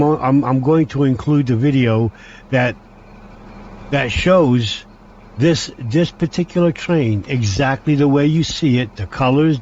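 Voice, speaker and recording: Man at mid pitch, halting train-sim commentary, dull lo-fi track, faint engine hum. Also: darkish tone. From Hungary. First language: Hungarian. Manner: halting, with frequent restarts, talks through each control step. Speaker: train simulator player. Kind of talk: gameplay commentary.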